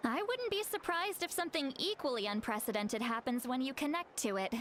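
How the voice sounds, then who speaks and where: A woman's voice: high voice, a little fast, a little hiss or hum. An actress voicing a long-lived, theatrical woman in a game who likes to make things sound dramatic. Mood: wry. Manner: theatrical, lively, wry. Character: ancient, dramatic, teasing woman leader. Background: game music.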